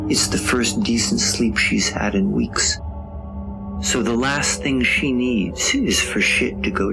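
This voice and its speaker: A man's voice: lowish voice, vocal fry. A man delivering scripted dramatic narration for an audio drama in a wry, suspenseful manner. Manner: wry, suspenseful, drawn-out phrasing. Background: music.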